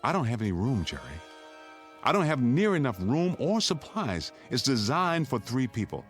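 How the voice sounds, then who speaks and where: An actor playing a stern, resolute suburban father in a radio drama, speaking gravely and firmly. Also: medium pitch, sing-song, normal speed, soft background music, natural voice.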